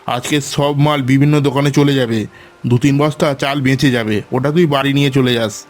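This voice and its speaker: A man's voice: medium pitch, lightly acted. A man voicing a stern, bossy village grain merchant in a cartoon. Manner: commanding, brisk orders.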